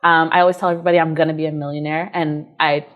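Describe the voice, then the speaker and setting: A woman's voice: low voice, little pitch movement, very fast, phone-quality sound. A young businesswoman, a guest on an interview show, answering reflectively with hesitations and restarts. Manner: reflective, hesitant, many fillers and restarts, laughs easily. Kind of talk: casual personal interview answers.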